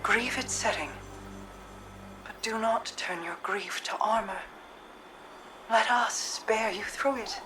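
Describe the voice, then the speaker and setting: An actress playing a grave, compassionate woman sage, speaking in a measured, formal, poetic way for a game cutscene. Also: a little high, background noise. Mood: gentle sorrow. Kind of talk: dramatic video game cutscene.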